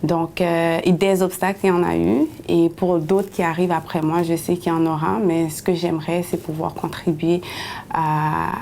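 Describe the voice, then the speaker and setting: A woman's voice: on the low side, level tone, normal speed, gravelly, darkish tone, a little hiss or hum. A woman, telling her story in an interview with many hesitations and restarts. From Haiti. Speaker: interviewee, city councillor. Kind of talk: personal testimony in an interview.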